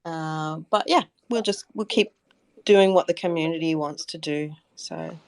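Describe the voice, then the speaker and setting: Low-pitched woman with a creaky voice. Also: unhurried. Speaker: community project representative being interviewed. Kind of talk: casual group interview. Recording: online audio chat room.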